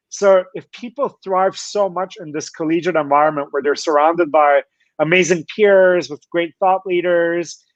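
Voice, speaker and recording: Higher-voiced man on dull, dry call. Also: no room sound. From Turkey, later Scotland. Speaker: guest, company founder. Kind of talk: conversational interview storytelling.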